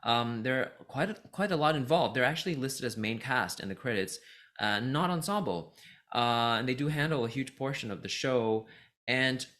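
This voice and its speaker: Bright-voiced man from Singapore reviewing casually. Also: mid-range voice, lively intonation, moderate pace. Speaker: vlogger reviewing musicals, voice actor and teacher.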